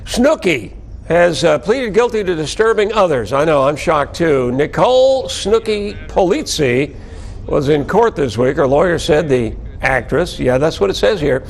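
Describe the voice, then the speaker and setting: Wry American male anchor, slightly high voice. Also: sing-song, a little slow, creaky. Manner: wry, dry asides. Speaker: news anchor. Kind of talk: scripted TV anchoring.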